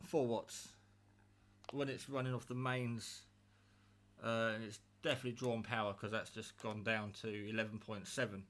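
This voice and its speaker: A man's voice: lowish voice, level tone, normal speed, a bit bright, slight background noise. Chatty man testing gadgets. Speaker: radio hobbyist demonstrating equipment. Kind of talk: casual hands-on gadget test narration. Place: indoors at a table at home. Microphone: handheld phone camera, operator off screen.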